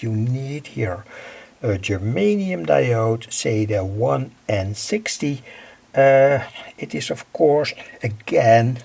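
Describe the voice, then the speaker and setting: A man giving an informal technical explanation in a halting way, often dropping in small filler phrases as he presents. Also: medium pitch, gravelly, a little mellow. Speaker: presenter of electronics project videos. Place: at home, indoors.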